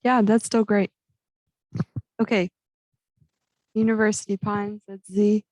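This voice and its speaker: A woman at a middle pitch chairs an online meeting, brisk and upbeat as she moves through the agenda. Her voice comes through dry and close. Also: flat delivery, darkish tone, dry recording, low-quality recording. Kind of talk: running a meeting. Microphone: video call.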